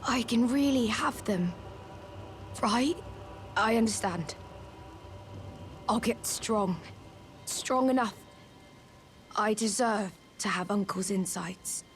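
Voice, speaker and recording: A woman voicing a small, earnest young living jar in a video game, timid but determined, with a soft, hesitant delivery. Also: on the high side, slow, long pauses, faint music behind. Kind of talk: video game character dialogue.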